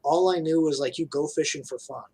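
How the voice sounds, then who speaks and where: A man's voice: fairly high pitch, fairly even intonation, brisk, warm tone, slightly rough. A thirty-year-old man chatting casually as a guest on a live video call from home, laid-back and colloquial. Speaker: guest angler. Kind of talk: casual chat.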